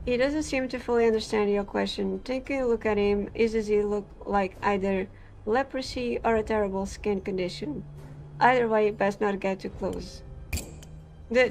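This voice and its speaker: A woman from Portugal, a gaming creator reading game text aloud and chatting in a halting way, full of fillers and restarts. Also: medium pitch, creaky, darkish tone, low-quality recording.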